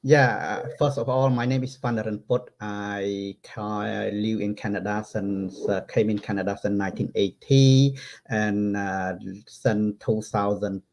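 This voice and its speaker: A middle-aged man speaking English with a French accent, a guest in a casual online chat, halting and restarting his phrases. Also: on the low side, fairly even intonation, darkish tone. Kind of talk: casual video-call chat.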